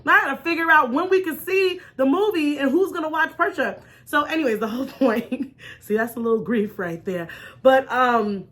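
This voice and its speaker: A woman with a high, bright voice hosts her own talk show. She tells personal stories in an informal, chatty way, expressively acting out dialogue and checking in with listeners as she goes. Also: normal speed. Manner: punctuates points with check-in questions like "right?". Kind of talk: animated personal storytelling to an online audience.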